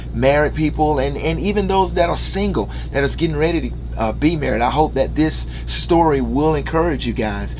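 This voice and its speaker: A man's voice: fairly high pitch, a little hiss or hum. A man in his thirties making an earnest, upbeat announcement to fans from a small living room, partly reading from notes with fillers. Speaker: musician addressing fans. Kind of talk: casual direct-to-camera announcement.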